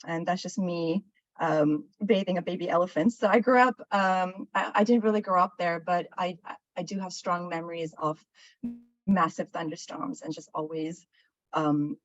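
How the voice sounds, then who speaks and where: A woman's voice: low-pitched, no room sound, lo-fi sound. A woman from Sri Lanka, raised in Dubai, giving a webinar talk in a storytelling way, with many fillers and restarts. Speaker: guest presenter. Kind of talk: webinar presentation.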